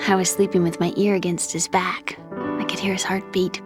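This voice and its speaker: A woman's voice: fairly low pitch, flat delivery, moderate pace, natural voice. A woman playing an earnest, emotional young woman, a vulnerable younger sister, in a dramatic video game cutscene. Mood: wistful. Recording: loud music under the voice.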